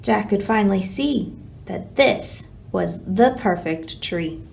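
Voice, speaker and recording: A woman hosting a library storytime, reading a children's picture book aloud in an expressive, dramatic way. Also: mid-range voice, takes it slowly, vocal fry, a little hiss or hum.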